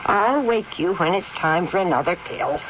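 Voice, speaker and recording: An actress playing a loyal, plain-spoken old housekeeper, kindly and dutiful, folksy and deferential, in 1970s radio drama style. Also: female voice, on the low side, lively intonation, moderate pace, lightly acted. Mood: gentle, concerned. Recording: dull, noisy 1970s radio drama recording.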